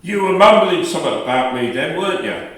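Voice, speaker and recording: An actor playing a bullying, foul-mouthed, jealous working-class husband in a radio play, sneering and scornful. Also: male voice, a little high, very animated, brisk, a bit bright. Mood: suspicious, bossy. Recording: echoey room, far from mic.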